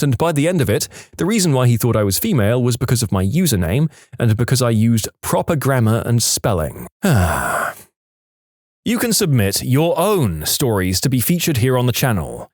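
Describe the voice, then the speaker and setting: A man's voice: mid-range voice, big pitch swings, brisk, hardly any pauses, darkish tone, dry recording, studio quality. A man narrating read-aloud online stories in a scripted storytime style, as the host of a channel.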